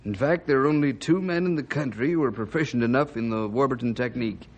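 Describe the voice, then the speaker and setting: A man's voice: mid-range voice, fast talker, muffled recording, lightly acted. A man playing a grave, fretful doctor who speaks formally and hesitantly in an old-time radio drama.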